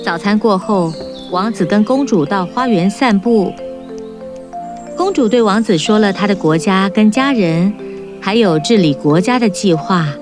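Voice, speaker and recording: A woman narrating a children's fairy-tale cartoon in a calm, even storytelling manner. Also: medium pitch, unhurried, over music.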